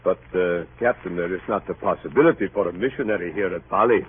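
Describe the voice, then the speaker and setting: An actor playing a sardonic Dutch colonial port inspector, with a Dutch accent and deliberate, mock-polite foreign phrasing, in an old-time radio drama. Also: male voice, low-pitched, lightly acted. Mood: suspicious, puzzled. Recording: scratchy, tinny old radio broadcast.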